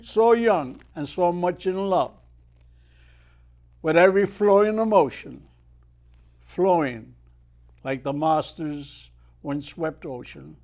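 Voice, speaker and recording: An Irish man in his eighties, a retired mariner guest telling stories and reciting his own poetry in a measured way. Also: sits high, darkish tone. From Ireland. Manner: measured storyteller who declaims verse with swelling emphasis. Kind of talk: unhurried storytelling and poetry recitation.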